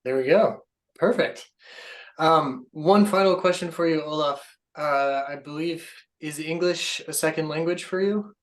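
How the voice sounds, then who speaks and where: A man's voice: a little high, measured pace. A man hosting a video call interview, asking friendly questions with short affirmations. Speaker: host.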